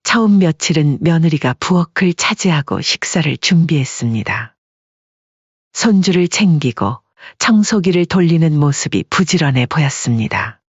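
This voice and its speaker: Story narrator, husky voice, scripted delivery, dry, slightly muffled recording. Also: medium pitch, animated, normal speed, no room sound.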